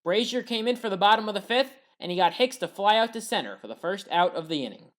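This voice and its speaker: A woman's voice at a middling pitch provides sports commentary over highlights. She reads a prepared play-by-play recap, telling each play in order as a narrator would. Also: expressive, fast.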